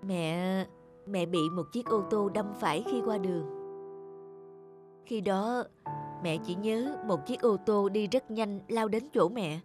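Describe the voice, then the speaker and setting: A woman voicing a weary, sad young woman in a wheelchair in an animated cartoon. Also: mid-range voice, takes it slowly, music in the background, natural voice. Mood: tender. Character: young woman in a wheelchair, bitter then tender.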